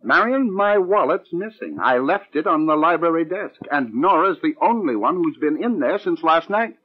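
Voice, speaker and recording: A man's voice: on the high side, expressive, normal speed, lightly acted. Man acting a scheming radio-drama husband, muffled vintage sound. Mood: accusing. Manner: insinuating, emphatic. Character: scheming, menacing husband and would-be playwright, smooth then impatient. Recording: muffled, band-limited old radio recording.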